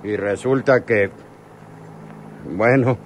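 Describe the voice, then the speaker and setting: A man's voice: fairly low pitch, slow, slight background noise. A man playing an arrogant, callous outlaw leader in a radio serial, domineering and scornful. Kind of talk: radionovela acting.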